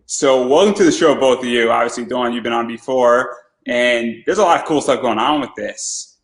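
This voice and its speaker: Host: eager male interviewer, echoey room, repeats himself when excited. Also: mid-range voice, normal speed. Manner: enthusiastic, stumbles and repeats words. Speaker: podcast host. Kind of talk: casual interview. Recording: roomy echo.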